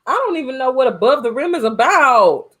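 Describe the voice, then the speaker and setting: A woman co-hosting a casual film review chat, speaking in an informal, slangy and expressive way. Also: high voice, fairly quick. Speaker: podcast co-host.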